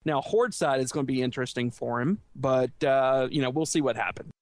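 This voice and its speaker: A man's voice: mid-range voice, a little fast, no echo. A man telling anecdotes in casual chat as a podcast panelist.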